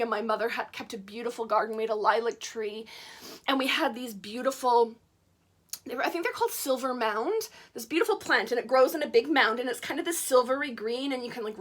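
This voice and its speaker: A woman's voice: a little high, fairly bright tone. A woman in her forties hosting her channel, chatting casually and rambling with many fillers. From Canada. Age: 40s. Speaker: witchcraft channel host. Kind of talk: casual chat to camera.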